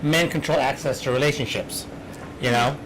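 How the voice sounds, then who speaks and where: A man's voice: medium pitch, steady tone, normal speed. A man hosting a casual chat, rambling with many restarts as he works through his thoughts. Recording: clear background noise.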